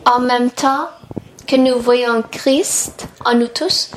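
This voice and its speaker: A woman delivers a sermon as an interpreter, one phrase at a time. Her voice sits fairly high and she speaks very slowly. There is some noise in the background. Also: steady tone, poor audio.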